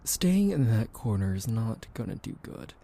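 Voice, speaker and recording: A young man in a soft ASMR roleplay, gently soothing and teasing the listener with soft laughs, hesitant fillers and the odd whispered aside. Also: lowish voice, big pitch swings, normal speed, gravelly.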